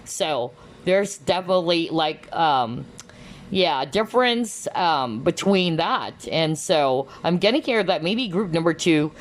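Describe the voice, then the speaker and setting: A woman giving a casual tarot card reading, chatty and animated, often exclaiming and checking in with tag questions. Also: fairly low pitch, slow pace. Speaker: tarot reader.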